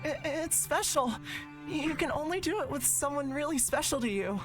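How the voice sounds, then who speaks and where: A woman voicing a gentle, bashful, romantic young man who explains things hesitantly and earnestly in a visual novel. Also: high-pitched, lively intonation, moderate pace, music in the background, voice pushed up. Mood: shy, earnest. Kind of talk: visual novel character read aloud.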